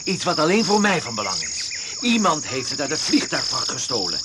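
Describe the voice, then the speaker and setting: A man's voice: fairly high pitch, wildly swooping pitch, fairly quick. A man playing a suspicious, agitated castaway in a radio drama, pressing his questions insistently and intensely. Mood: accusing, insistent. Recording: very noisy old radio play recording.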